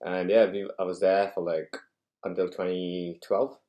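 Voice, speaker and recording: A man's voice: sits low, flat delivery, unhurried, reverberant, lo-fi sound. A young man from India, a podcast guest chatting casually in an easygoing, storytelling way. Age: young adult. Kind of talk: casual chat.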